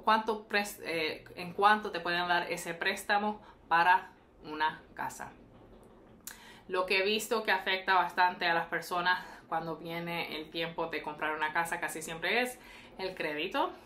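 A woman's voice: lowish voice, a bit bright. A woman, explaining things to camera as a realtor. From Atlanta, United States, of Puerto Rican parents. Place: small indoor room with brick wall. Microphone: lapel.

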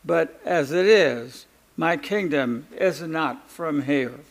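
A man reading the lines of a calm, solemn male prophet on trial in a liturgical Passion reading, slow and measured. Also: fairly high pitch, poor audio. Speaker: scripture reader.

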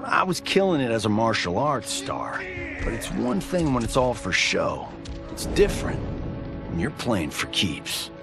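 A man's voice: medium pitch, gravelly. An actor playing a cocky, vain action-movie star, narrating his story in a breezy, showy, self-satisfied way. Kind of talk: casual scripted game narration. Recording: studio voice over music.

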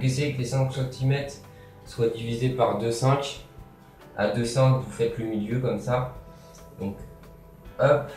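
Young man, casual DIY tutorial, very echoey bare room, distant camera mic, faint guitar behind. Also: mid-range voice. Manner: relaxed, talks while working, many fillers and "hop, voilà". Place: bare room with grey wall, indoors. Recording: roomy echo, distant mic, faint music.

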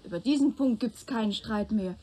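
Actress as a husky, composed young woman. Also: medium pitch, normal speed, dark tone, lightly acted. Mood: composed, frank. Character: polite, self-possessed young woman giving a statement. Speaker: actor in a German radio play. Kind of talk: radio drama dialogue.

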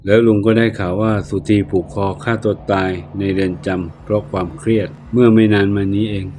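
Low-voiced male storyteller, faint music. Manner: steady, measured telling. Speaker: narrator. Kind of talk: storybook narration of a ghost tale. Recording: narration with faint background music.

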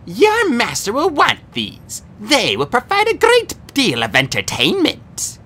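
A cruel monster cheerleader from a storybook, drawling her threats in a high, sugary voice, as a narrator puts her on. She stretches every word, enjoying the menace. The voice leaps around a lot in pitch. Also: wildly swooping pitch, fairly bright tone, breathy edge, exaggerated voice. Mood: taunting. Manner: slow, taunting.

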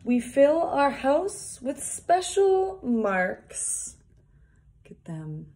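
A young woman reading a picture book aloud for small children, deliberately, with casual asides. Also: high-pitched, sing-song, warm tone. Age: young adult. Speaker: early years worker reading a picture book. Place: small plain indoor room, seated at a desk. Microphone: phone or device propped at arm's length.

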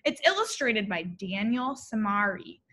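Slow, bright-voiced woman reading to children. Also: mid-range voice. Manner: expressive, deliberate, explaining to young children. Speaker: elementary teacher reading aloud. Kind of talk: children's picture-book read-aloud. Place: school classroom, indoors.

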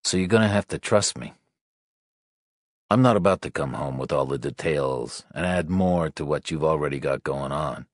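A patient, world-weary detective in an audiobook thriller, acted by a male narrator. His voice sits low and stays calm and reassuring, with a dry understatement. The sound is close, with no room to it. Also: moderate pace, no echo. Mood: patient, tender.

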